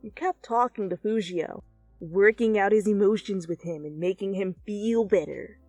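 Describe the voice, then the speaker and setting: A woman giving an expressive, theatrical dramatic reading of fan fiction as narrator. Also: medium pitch, normal speed, no echo. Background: background music.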